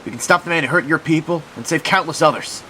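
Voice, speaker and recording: A man's voice: fairly high pitch, fast talker, sharp tone, slight background noise, low-quality recording. A man playing a noble, earnest soldier hero in a superhero audio drama, speaking in a heartfelt way. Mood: resolute, appealing.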